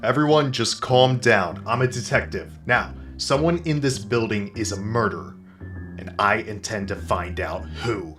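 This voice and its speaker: Comedy noir private eye, male actor: deep, deadpan, dramatic and clueless, echoey with music underneath. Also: a little slow. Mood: earnest. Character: self-serious, dim-witted male private detective, narrating his case. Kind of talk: parody noir narration and character dialogue.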